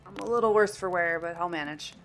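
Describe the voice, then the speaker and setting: A woman's voice: on the low side, fast talker, vocal fry, faint music behind. A woman acting as a wounded, commanding and stoic Marine sergeant in an audio drama. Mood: weary relief.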